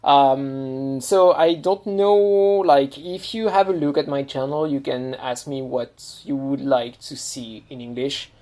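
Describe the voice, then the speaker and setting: A man's voice: mid-range voice, takes it slowly, few pauses, warm tone. A man with a French accent and a rambling, hesitant delivery full of "like", giving a casual show-and-tell review at home. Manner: rambling, hesitant, heavy use of "like". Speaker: toy reviewer.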